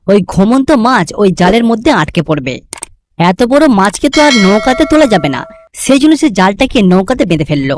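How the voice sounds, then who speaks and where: A woman's voice: medium pitch, darkish tone, no room sound. A woman narrating a scripted cartoon fairy tale as the storyteller.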